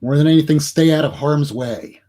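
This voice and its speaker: A man with a gravelly voice on a live stream panel, wry and dry-humored. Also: fairly high pitch, moderate pace, mellow. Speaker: panel guest.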